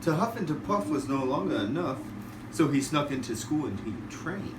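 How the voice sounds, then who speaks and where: A man, a father, reading a rhyming bedtime storybook aloud in an animated way. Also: a little high, normal speed. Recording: roomy, distant, noisy home recording.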